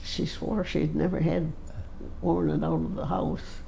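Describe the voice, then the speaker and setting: A woman of about a hundred recalling old family stories in a slow, halting way, with many pauses and fillers. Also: low voice, level tone, vocal fry, warm tone, slight background noise, low-quality recording. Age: 100s. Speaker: interviewee. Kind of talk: casual storytelling.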